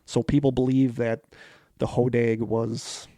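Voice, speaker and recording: A man's voice: mid-range voice, little pitch movement, measured pace, dark tone, no room sound, studio quality. A man from Wisconsin with an American accent, co-hosting a podcast and moving between casual chat and reading out prepared notes. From Wisconsin, United States. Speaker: podcast co-host.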